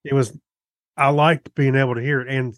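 A middle-aged man co-hosting a podcast, chatting casually and haltingly as he thinks aloud. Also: medium pitch, fairly even intonation, fairly bright tone, dry recording, clean studio sound. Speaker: podcast co-host. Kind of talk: casual chat about gadgets.

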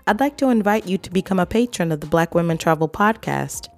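A woman's voice: fairly low pitch, expressive, fast, a little mellow, faint music behind. A woman hosting a travel podcast, asking her guest questions in a friendly, conversational way. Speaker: podcast host. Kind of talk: podcast interview.

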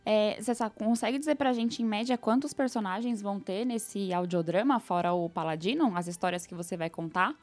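A woman's voice: medium pitch, fairly quick, few pauses, a little mellow, soft background music. A Brazilian woman chatting casually as a podcast co-host.

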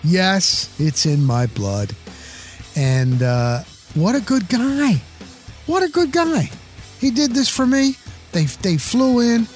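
Male host, middle-aged, higher-pitched voice, effusive and emphatic sports talk delivery with punchy repeats. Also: big pitch swings, takes it slowly, soft background music. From New Jersey, USA. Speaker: interview show host. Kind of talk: enthusiastic sports talk and interviewing.

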